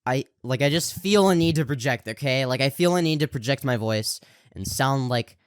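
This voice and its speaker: A man co-hosts a casual podcast, talking in a smooth, clear voice that he pushes out to project. He rambles with many fillers and restarts. The sound is dry and close. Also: mid-range voice, expressive, normal speed, no room sound. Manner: projecting voice on camera. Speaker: podcast co-host. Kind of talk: casual chat.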